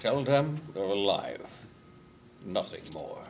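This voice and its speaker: A man playing a terse, sardonic space crew leader in a comic screenplay table read, often deadpan. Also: lowish voice, takes it slowly, heavily acted. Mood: terse command. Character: dry, commanding male space crew leader. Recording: table read with background noise.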